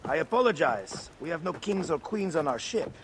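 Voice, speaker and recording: A man's voice: fairly high pitch, big pitch swings, normal speed, noisy background. A man playing a boisterous, roguish older sea captain in a video game cutscene, theatrical and jovial, moving between bellows and wry asides. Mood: wry, confiding. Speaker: ship captain.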